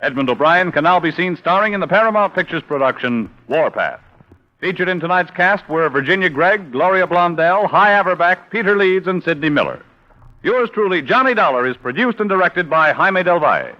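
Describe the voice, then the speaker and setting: A man's voice: high voice, animated, fairly quick. An American man announcing in 1950s radio style, reading sponsor messages and credits in a polished, upbeat way. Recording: muffled old radio broadcast with music.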